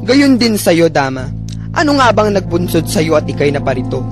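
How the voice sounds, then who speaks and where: A man's voice: sits high, sing-song, normal speed. A man playing a dutiful noble father in an epic drama, speaking formally and solemnly. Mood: courteous. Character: dutiful noble father, a datu resigned to royal command. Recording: music under the voice.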